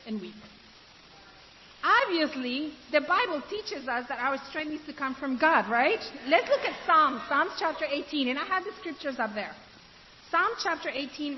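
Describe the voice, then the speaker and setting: A woman from Ecuador preaching to a room of women, asking them questions and then answering them, and slowing down to stress key words. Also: sits high. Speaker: women's ministry speaker. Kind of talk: sermon. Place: room with an audience. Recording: dull, hissy recording in a reverberant hall.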